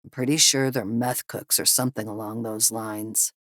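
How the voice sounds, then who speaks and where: Woman voicing a low, grim, steady male pilot. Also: moderate pace, no echo, clean studio sound. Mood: grim, hushed. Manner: firm, quiet, grave. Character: calm, grim, firm male helicopter pilot.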